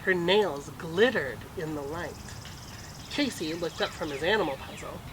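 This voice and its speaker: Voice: mid-range voice, a little slow, crowd noise. A storytime host reading a children's picture book aloud expressively, on a noisy home-made recording. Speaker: storytime reader.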